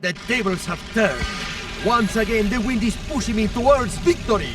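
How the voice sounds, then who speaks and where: A man's voice: high-pitched, normal speed, recorded from a distance, music in the background, lightly acted. A man playing a boastful, melodramatic Mexican-accented racing plane hero in a video game, grandiose and theatrical. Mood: triumphant, boastful. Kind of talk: video game character acting.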